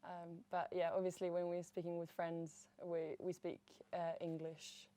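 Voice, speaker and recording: A young woman from Sweden, a native Swedish speaker, talking as a studio guest, relaxed with small hesitations. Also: on the low side, a little slow.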